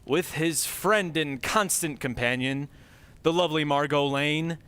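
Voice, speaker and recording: A man's voice: on the high side, a little slow, bright, forceful tone, clear, resonant tone. A man announcing and narrating an old-time radio show in a theatrical, dramatic scripted style.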